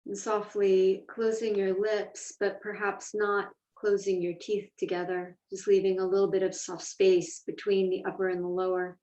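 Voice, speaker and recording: A woman's voice: mid-range voice, level tone, warm tone. Echoey, distant woman guiding a meditation. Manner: measured, deliberate, pausing between phrases. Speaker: meditation teacher. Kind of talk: guided meditation, slow instruction. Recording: echoey room, distant mic, dull sound.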